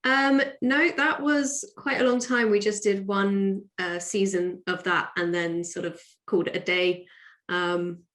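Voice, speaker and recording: A woman's voice: medium pitch, fairly even intonation, measured pace, fairly bright tone. A woman, chatting casually as a podcast guest and explaining things. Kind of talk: casual interview chat, explaining. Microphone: own microphone on remote call. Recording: roomy, echoey sound.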